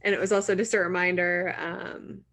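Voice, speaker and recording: A woman's voice: on the low side, flat delivery, normal speed, creaky, a bit bright. A woman in her late twenties co-hosting a podcast, talking in an informal, encouraging way with plenty of "like". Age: late 20s. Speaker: podcast co-host interviewing her co-host. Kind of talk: casual podcast chat.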